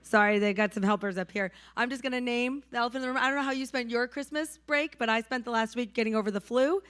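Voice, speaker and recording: A woman from New Hampshire preaching with a hoarse, slightly raised voice. She talks conversationally and keeps checking in with her listeners. The sound is close and dry, with no room around it. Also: fairly high pitch, fast, a bit bright, dry recording. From New Hampshire, USA. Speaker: pastor. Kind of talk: sermon.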